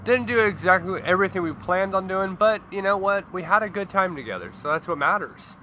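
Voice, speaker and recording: Upbeat bright-voiced man. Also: sits high, lively intonation, fairly quick, a little hiss or hum. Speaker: podcast co-host. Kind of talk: casual chat.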